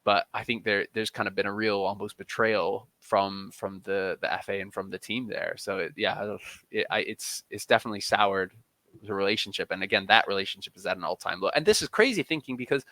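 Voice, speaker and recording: A man's voice: lowish voice, a little fast, sharp tone, no room sound. A man speaking as a podcast interview guest, talking emphatically and often repeating or restarting his phrases mid-thought. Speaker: interview guest, football journalist.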